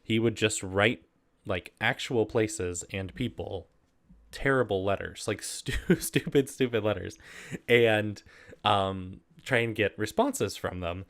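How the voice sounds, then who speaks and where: A man's voice: on the low side, takes it slowly. A man casually hosting a video and reading humorous letters aloud, easily amused and prone to giggling. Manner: giggly, often breaking into laughter while reading.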